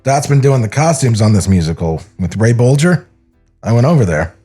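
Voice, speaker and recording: A man reads a mild-mannered fellow from a 1930s screenplay. He is placating and understated, with lively turns of pitch. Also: moderate pace, husky, soft background music. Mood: placating, embarrassed.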